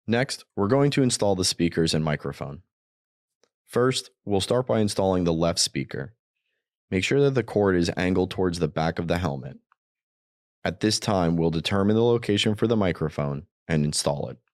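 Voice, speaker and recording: Deep-voiced man narrating product instructions. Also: lively intonation, normal speed, darkish tone. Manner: plain step-by-step explaining. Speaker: product demonstrator.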